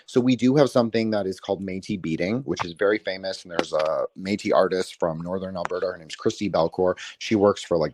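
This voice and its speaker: A man's voice: sits low, a little mellow, a little hiss or hum. A man from Canada with a Quebec French accent, a podcast guest chatting casually with many fillers and restarts. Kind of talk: casual chat.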